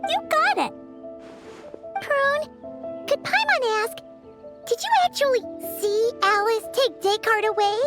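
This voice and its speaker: A squeaky, bubbly fairy companion. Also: female voice, takes it slowly, long pauses, music in the background. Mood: curious. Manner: talks of herself in third person, bubbly. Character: tiny chirpy floating fairy companion girl. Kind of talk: game voice acting.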